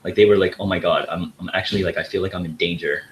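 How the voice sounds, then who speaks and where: A man's voice: deep voice, fairly even intonation, quick pace, a little hiss or hum, poor audio. A young man talking as a podcast guest in a casual, laid-back way, saying "like" a lot. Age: young adult. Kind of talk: casual chat.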